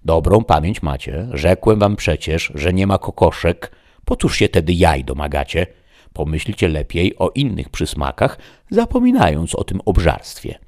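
A man's voice: sits low, sing-song, normal speed, a little mellow, no echo, studio quality. A man voicing a wily, boastful innkeeper in a storybook, theatrical, exclaiming and wheedling. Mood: indignant.

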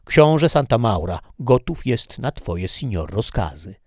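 A man's voice: deep voice, a little slow, mellow, no room sound, studio quality. Man doing a haughty jealous duke. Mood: indignant. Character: proud jealous male nobleman, a duke.

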